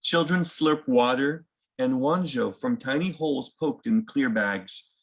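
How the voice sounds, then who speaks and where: A man reads a children's picture book aloud in a creaky, gravelly voice of medium pitch. He narrates the story and speaks to young viewers in a conversational, explanatory way. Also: unhurried, fairly bright tone. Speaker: read-aloud host.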